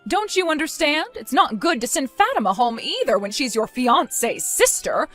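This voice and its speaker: A woman's voice: high voice, big pitch swings, moderate pace, fairly bright tone, soft background music. A woman voicing a stern, disappointed mother nearing retirement age in a dramatized story, scolding with weary firmness. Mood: weary, resigned.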